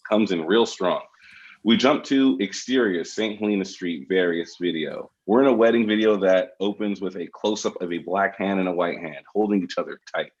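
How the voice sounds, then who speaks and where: Very deep-voiced man reading stage directions. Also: muffled recording. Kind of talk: scripted narration at a table read.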